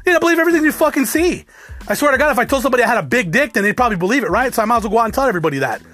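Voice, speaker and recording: A man's voice: extremely high pitch, lively intonation, rapid-fire, fairly bright tone. A man from the United States talking casually to his viewers in a rambling, profane rant that restarts and repeats itself. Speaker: vlogger.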